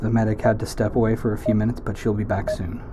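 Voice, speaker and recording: A man's voice: fairly low pitch, flat delivery, fast, background noise, lightly acted. An actor playing an awkward, literal-minded young man on a spaceship crew who stammers when nervous. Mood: rehearsing.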